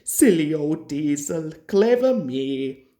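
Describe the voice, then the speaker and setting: A woman's voice: low-pitched, lively intonation, slow, dark tone. A woman voicing a grumpy, boastful male little steam engine in a storybook, blunt and snorting, in an echoey room. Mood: smug. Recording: roomy, echoing home recording.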